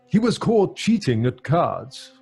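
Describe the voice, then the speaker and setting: An actor with a British accent playing a middle-aged father who tells grim tales in a measured, genial way for an old-fashioned radio drama. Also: a little high, very animated, vocal fry, a little mellow, husky, faint music behind, heavily acted. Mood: grave storytelling. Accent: British English.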